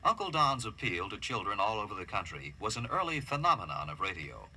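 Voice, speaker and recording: A man's voice: lowish voice, very animated, fairly quick, pushed and bright, a little hiss or hum, poor audio. A man reading scripted documentary narration.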